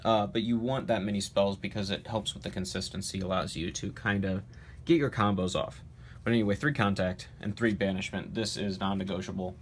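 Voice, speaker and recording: A man's voice: fairly low pitch, steady tone, a little fast, slight background noise. A man hosting a casual card game deck profile with a stuffy, nasal voice from a cold. He talks confidently and emphatically, with frequent "uh" and "um". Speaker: card game channel host.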